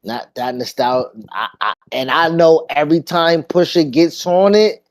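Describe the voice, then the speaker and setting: A man's voice: a little high, measured pace. Emphatic man arguing on a podcast. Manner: emphatic and argumentative, quoting lyrics. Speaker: podcast co-host. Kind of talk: casual debate about rap.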